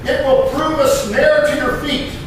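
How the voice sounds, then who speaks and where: A man playing an impassioned eighteenth-century American patriot statesman, declaiming a speech with emphatic, deliberate pauses in a dramatic reading. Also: very high-pitched, fairly even intonation. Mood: indignant, challenging. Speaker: living historian performer. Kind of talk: dramatic historical oratory reading. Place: historic courthouse room. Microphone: distant microphone. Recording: very echoey room, distant mic, noisy.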